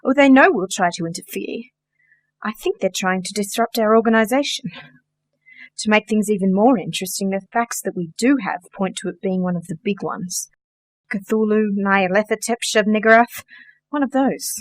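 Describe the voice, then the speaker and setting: A woman's voice: mid-range voice, moderate pace, no echo, close to an everyday voice. A woman playing a genteel, dryly witty sorcerer in a radio play, polite, precise and matter-of-fact. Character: composed, genteel, dryly witty female sorcerer, organizer of magic workers.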